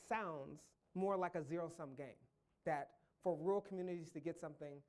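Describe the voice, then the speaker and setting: A man's voice: high voice, a little mellow. A man, a professor answering audience questions at a public lecture, speaking in long, careful, thought-out sentences. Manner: measured, thinking aloud in long careful sentences.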